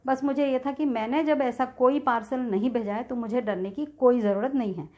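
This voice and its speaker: A woman's voice: a little high, steady tone, moderate pace. A middle-aged woman with an Indian accent telling a personal experience casually and in detail, acting out bits of dialogue as she goes. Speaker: video creator sharing a personal experience. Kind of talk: casual first-person storytelling with re-enacted dialogue. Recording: dull, lo-fi sound.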